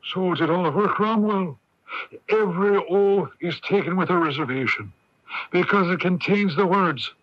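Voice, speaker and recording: An actor playing a forceful, witty older playwright who argues in deliberate rhetoric, in radio drama style. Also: male voice, on the high side. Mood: impassioned. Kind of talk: 1980s radio drama acting. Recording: dull lo-fi 1980s AM radio recording.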